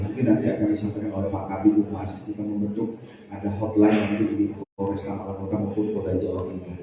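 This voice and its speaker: Brief: male police official, very dark lowish voice, formal briefing delivery, distant in a boomy hall. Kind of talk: press conference answers, formal. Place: large room at a press conference. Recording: boomy echoing hall, distant muffled mic, faint noise.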